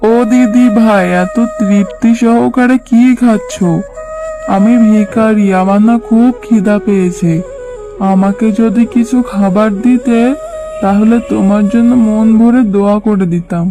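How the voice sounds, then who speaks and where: A woman voicing a kindly old female fox beggar in a cartoon, gentle, grandmotherly and imploring. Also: medium pitch, fairly even intonation, measured pace, music in the background, natural voice. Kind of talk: cartoon dubbing.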